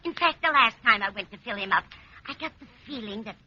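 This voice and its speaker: A woman's voice: on the high side, animated, brisk, bright, forceful tone, lo-fi sound. Lilting, motherly radio landlady. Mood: cheerful, soothing. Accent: American. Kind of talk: 1940s-50s radio comedy acting. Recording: noisy, band-limited old radio recording.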